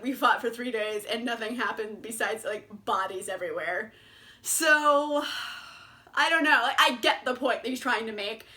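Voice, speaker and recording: Bright high-voiced woman chatting about books. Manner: chatty, laughs often, swears casually. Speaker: book reviewer. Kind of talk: casual book review vlog.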